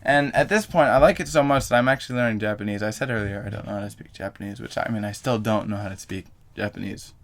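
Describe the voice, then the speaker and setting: Rambling young guy with a low voice. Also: fast talker, few pauses. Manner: rambling, self-correcting, lots of fillers. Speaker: vlogger. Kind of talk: casual rambling vlog.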